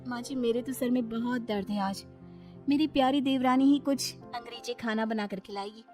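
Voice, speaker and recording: A woman voicing a naive, gentle young village daughter-in-law in a Hindi family cartoon, respectful and earnest. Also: on the high side, moderate pace, pitched above the performer's own voice, natural voice. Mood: teasing. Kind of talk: animated Hindi family story dialogue. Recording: music behind the voice. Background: background music.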